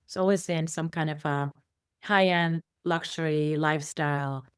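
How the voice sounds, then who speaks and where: A woman's voice: deep voice, steady tone, normal speed, clear, resonant tone, dry recording, clean studio sound. A middle-aged woman from Iceland, a guest telling her life story in a rambling way with many fillers and restarts. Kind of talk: conversational interview storytelling.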